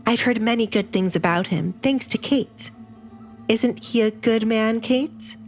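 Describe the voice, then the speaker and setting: A woman's voice: fairly high pitch, measured pace, darkish tone, faint music behind, pitched above the performer's own voice. A woman voicing a young noblewoman in a romance audio drama, politely sweet with a pointed, taunting edge. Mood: sweet, provoking.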